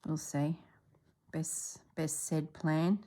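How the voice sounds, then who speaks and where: Woman, from Australia, low voice, unhurried, slightly congested, casual stitching chat. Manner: chatty, relaxed, drops into soft murmurs while working. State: congested, clearing throat. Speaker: stitching vlogger.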